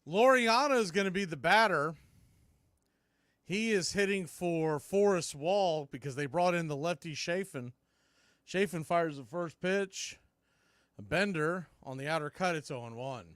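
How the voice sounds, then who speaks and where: A man's voice: a little high, measured pace, a bit bright, clean tone, no room sound. Dry-sounding male baseball play-by-play announcer. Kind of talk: live play-by-play commentary mixed with trivia.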